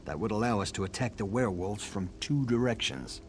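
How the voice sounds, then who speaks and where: An actor playing an earnest army commander in a fantasy video game, briefing in a firm, deliberate way. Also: male voice, lowish voice, very noisy, natural voice. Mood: grave, concerned. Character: earnest male army commander, a worried father.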